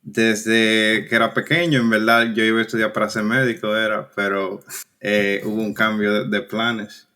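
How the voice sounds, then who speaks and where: Laid-back Dominican man, lowish voice. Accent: Dominican Spanish. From Dominican Republic. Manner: relaxed, halting, frequent restarts and fillers. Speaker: guest engineer. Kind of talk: casual conversational interview.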